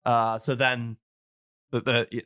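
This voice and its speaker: A man with a bright, smooth voice hosts a casual podcast. He explains things like an enthusiast, wandering and nerdy in his phrasing. The sound is very dry, with no room around him. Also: medium pitch, little pitch movement, takes it slowly, no room sound. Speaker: podcast host.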